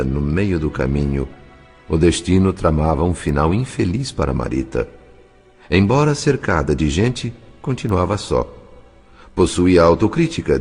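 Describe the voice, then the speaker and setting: A man with a Brazilian accent narrating a scripted dramatic novel with measured, literary, expressive phrasing. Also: low voice, husky. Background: faint music.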